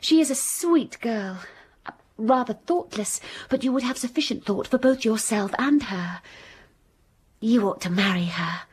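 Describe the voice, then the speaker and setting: A calm, frank young schoolmistress. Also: female voice, fairly high pitch, a little slow, husky. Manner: calm, direct, quietly bold. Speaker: narrator and heroine. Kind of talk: radio drama acting.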